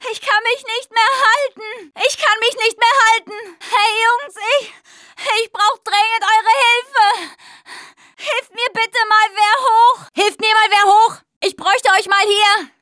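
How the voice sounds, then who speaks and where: A woman's voice: very high voice, fairly even intonation, a little slow, bright, forceful tone, no room sound, clean studio sound, full character voice. A young woman acting a spirited, caring apocalypse survivor in a video game, speaking in short, emphatic phrases. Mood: desperate, pleading. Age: young adult.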